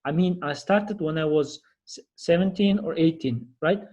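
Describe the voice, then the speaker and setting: Male podcast host, young adult, Kazakh accent, slightly high pitch, casual, halting delivery. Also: a little mellow, dull audio. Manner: halting, searching for words. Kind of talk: casual interview conversation.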